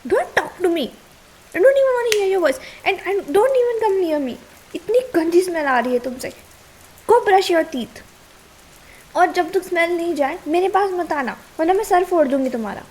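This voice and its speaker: A young Indian girlfriend, played by a woman in an ASMR roleplay, with a high-pitched voice and slow delivery. She is caring yet suspicious and quick to nag, in a casual way. Faint hiss and rain sit behind her. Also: expressive, a little mellow, rough recording. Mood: angry, sarcastic. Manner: casual, nagging, switches between two languages. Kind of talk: ASMR girlfriend roleplay, Hindi mixed with English.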